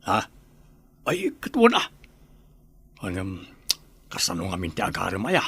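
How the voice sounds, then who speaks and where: An actor playing a bashful middle-aged bachelor uncle in a radio drama, husky and hesitant in speech. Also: male voice, sits low, huge pitch swings, unhurried, fairly bright tone, heavily acted. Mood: flustered. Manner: hesitant, stammering. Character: bashful, tongue-tied older bachelor uncle, fond of drink. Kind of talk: radio drama acting in Ilocano.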